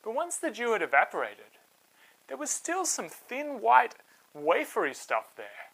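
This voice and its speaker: Casting: bright, highly expressive children's Bible storyteller, with very lively pitch swings and plain conversational delivery. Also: a little slow, creaky, low-quality recording. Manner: expressive, simple conversational retelling.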